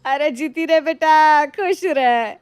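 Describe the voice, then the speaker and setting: A woman's voice: high-pitched, takes it slowly, no room sound. A woman playing an older mother-in-law in a moral story, effusive and complaining in an exaggerated way. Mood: doting. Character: nagging older mother-in-law, gushing over niece, scolding her own. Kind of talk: animated moral story dialogue.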